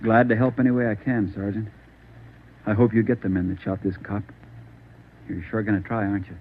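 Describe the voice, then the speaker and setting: On a tinny 1940s radio recording, an actor plays a male civilian witness. His fairly low voice is earnest and eager to help, in old crime-drama style. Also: steady tone, fairly quick, lightly acted. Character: earnest, helpful civilian witness to a police shooting.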